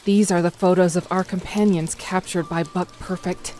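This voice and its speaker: A woman voicing a steady, serious underground rebel, telling things in a measured way in a comic dub. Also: fairly low pitch, natural voice. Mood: grave. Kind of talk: amateur comic dub acting. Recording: music behind the voice.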